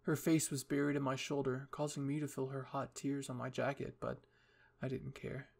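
A man's voice: mid-range voice, flat delivery, moderate pace, creaky, a little mellow. A man reading a scripted story in the first person as its narrator. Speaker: fan fiction reader.

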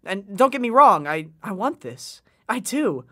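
Young boy, earnest, considerate, halting, folksy speech, audio drama. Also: high voice, very animated, bright, forceful tone, heavily acted. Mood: anxious, earnest. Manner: halting, folksy uneducated grammar.

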